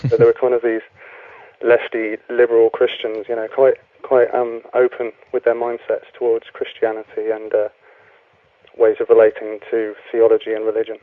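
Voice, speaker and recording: A young man talks over a tinny, phone-like line with dry, close sound. His voice is flat and even in pitch, and he speaks in a relaxed, hesitant way, pausing often on ums as he tells his story. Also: no echo. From Wales. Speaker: guest author. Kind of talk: radio interview.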